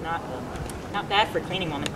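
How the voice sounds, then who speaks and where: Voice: mid-range voice, lively intonation, brisk, background noise, close to an everyday voice. A reader playing a folksy, plain-spoken servant who tries to keep the peace. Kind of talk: stage play reading.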